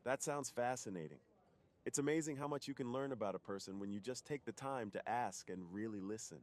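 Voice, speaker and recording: A man acts as a secretive superhero off duty, with a mid-pitched voice. He is polite and earnest, confiding shyly and intimately in an ASMR roleplay. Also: fairly quick, lightly acted. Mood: sincere, confessing.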